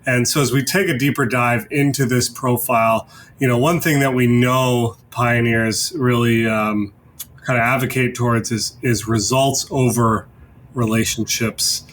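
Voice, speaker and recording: A man's voice: medium pitch, fairly even intonation, a little slow, a bit bright, a little hiss or hum. A man hosting a discussion podcast in a conversational style. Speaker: podcast host.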